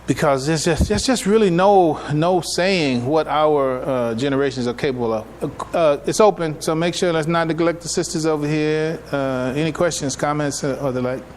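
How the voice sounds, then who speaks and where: Folksy American man lecturing, higher-pitched, noisy recording. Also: moderate pace, few pauses. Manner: conversational, folksy, checks in with listeners using rhetorical questions. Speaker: imam giving a public address and taking questions. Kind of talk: informal religious lecture to a congregation. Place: live gathering at a mosque, speaking to attendees. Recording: noisy live room recording.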